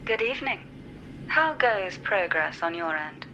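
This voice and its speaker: Mysterious calm game lady, lowish clear-cut bright voice, formal and cryptic, bit of background noise. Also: highs cut off, heavily acted. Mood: solemn, cryptic. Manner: measured, formal, philosophical. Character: calm, enigmatic woman ally speaking in cryptic metaphors. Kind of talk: video game voice acting.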